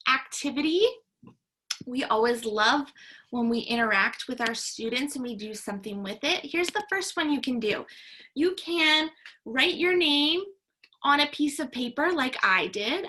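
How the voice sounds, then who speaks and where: High, bright woman teacher talking to children. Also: rough recording. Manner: exaggerated, sing-song child-directed delivery with drawn-out key words; encouraging, praising young learners. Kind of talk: read-aloud lesson for young children on video.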